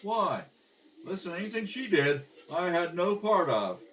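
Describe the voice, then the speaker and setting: A man playing a defensive, evasive ex-convict fry cook in an amateur radio crime drama. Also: sits high, very animated, unhurried, a bit bright, full character voice. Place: at a kitchen table. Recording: slightly distant home microphone.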